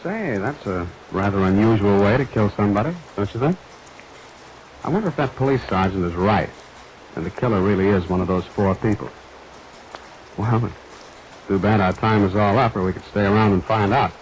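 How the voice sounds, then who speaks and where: A man plays a wry host of an old-time radio mystery. His voice is fairly low and he speaks with deliberate, teasing relish. Light noise and rain sit behind the vintage recording. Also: close to an everyday voice, poor audio. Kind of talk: 1940s radio mystery hosting.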